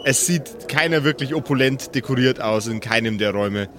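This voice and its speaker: A man's voice: mid-range voice, normal speed, background noise. Hesitant male game master, casual. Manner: hesitant, searching for words. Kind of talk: improvised tabletop game narration, casual.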